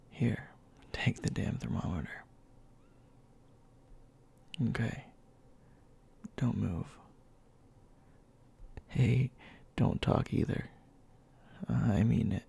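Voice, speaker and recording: A man playing a bossy but tender young boyfriend in a soft, intimate ASMR roleplay, speaking in a halting, breathy murmur that often drops to a whisper. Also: lowish voice, exaggerated voice. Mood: bossy, fussing. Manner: halting, murmured, scolding yet caring.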